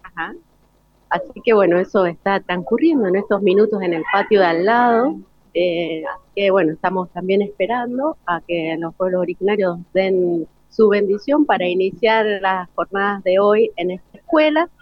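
An Argentine woman hosts a live radio interview segment at a mid-range pitch. The sound is dull and lo-fi, close and dry, like a broadcast link. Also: moderate pace, no room sound. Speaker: radio host. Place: school event, remote broadcast.

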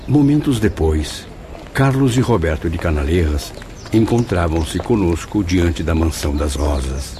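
A man's voice: low-pitched, lively intonation, a little slow, mellow, slight background noise. An actor in a radio drama playing a grave, consoling male spirit companion with a solemn, literary delivery. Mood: composed recounting.

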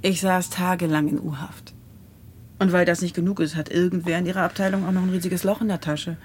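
A woman's voice: on the low side, level tone, fairly quick, slightly rough. A woman playing a bitter, sarcastic university researcher in a naturalistic radio drama, with a dry, aggrieved manner.

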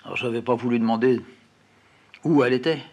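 A man telling a first-person childhood memory in a plain, unhurried, quietly reflective way. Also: mid-range voice, fairly even intonation, muffled recording. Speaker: storyteller. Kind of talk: first-person memoir narration.

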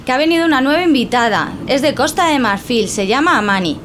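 A woman hosting a travel show, asking relaxed, friendly questions. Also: high-pitched, fast talker, a crowd in the background. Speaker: show host. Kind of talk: casual chat. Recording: noisy field recording.

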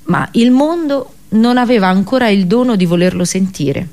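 An actress playing an accursed princess and prophetess in a radio drama monologue, with a solemn, intense storytelling delivery. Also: female voice, medium pitch, expressive, normal speed, a little mellow, lightly acted. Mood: wistful narrating. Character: Cassandra, bitter accursed Trojan princess and prophetess. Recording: voice over background music. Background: music.

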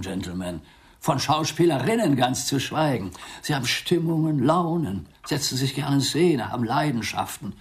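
A genteel London theatre agent in a radio drama, acted by a man. He has a medium-pitched male voice and speaks in polite, wordy, old-fashioned phrases, easily flustered and loyal to his clients. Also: lightly acted. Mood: indignant. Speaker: actor in a radio play. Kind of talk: German radio drama acting.

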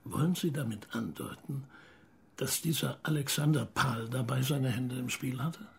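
An actor playing a polite, persistent younger investigative journalist, asking measured questions in a radio drama. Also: male voice, medium pitch, creaky, husky. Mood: probing, polite. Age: young adult.

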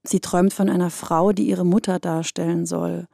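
A woman narrating a personal audio piece in an intimate, reflective, measured manner, from a script. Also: lowish voice, flat delivery, vocal fry, warm tone, no room sound, studio quality.

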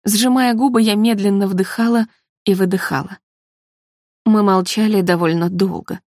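A woman reading literary narration in a measured, expressive way. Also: medium pitch, no echo, clean studio sound. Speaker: audiobook narrator.

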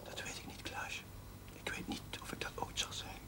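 Soft, hesitant young chaplain played by an actor. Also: male voice, normal speed, whispered, lightly acted. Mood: flustered. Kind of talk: 1970s Dutch television drama acting.

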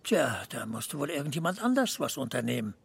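Very gravelly, husky older small-town man. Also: medium pitch, sing-song, fairly quick, exaggerated voice. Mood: dry, calm. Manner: dry, understated. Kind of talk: naturalistic radio drama acting.

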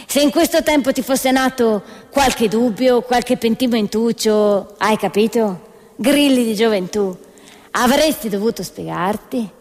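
A woman's voice: a little high, unhurried, a little mellow, long reverb tail, voice pushed down. Woman putting on an overbearing, wheedling father. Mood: coaxing, pressuring. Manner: wheedling, insistent, tags phrases with questions. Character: overbearing middle-aged father, smooth-talking, patronising, coercive. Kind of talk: theatrical satire.

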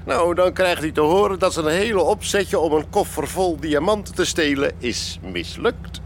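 A man's voice: on the high side, moderate pace, a little hiss or hum, lightly acted. A man playing a hearty, commanding police sergeant in a radio play, speaking to his team with jovial authority. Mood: resigned, amused. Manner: jovial authority, addresses his companions as lads.

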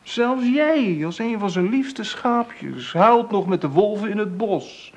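A man's voice: high-pitched, lively intonation, slow pace, heavily acted. Spottende jongeman met levendige intonatie. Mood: mocking. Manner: teasing, rhetorical, condescending. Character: mocking, provocative young atheist man, smug, condescending. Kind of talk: Dutch radio drama acting.

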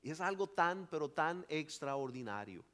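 Pastor guy preaching, kinda high voice, gets loud then goes quiet. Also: expressive, moderate pace. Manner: preaching with rising emphasis, then dropping to quiet asides. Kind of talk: sermon.

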